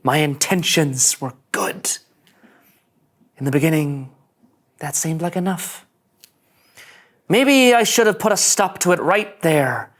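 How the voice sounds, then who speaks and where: Nervous young ensign, high voice, very animated, earnest and overdramatic, cartoon sci-fi. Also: male voice, slow pace, long pauses, full character voice. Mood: brooding narration. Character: anxious, earnest, by-the-book young male starship ensign. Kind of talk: animated sci-fi comedy table read.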